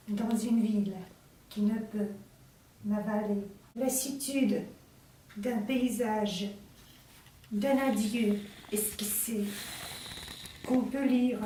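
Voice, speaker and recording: Very slow, echoey woman reciting poetry. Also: fairly high pitch, dark tone. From Russia. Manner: deliberate, declamatory, short phrases with pauses. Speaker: poet reading own work. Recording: very echoey room, distant mic, faint noise.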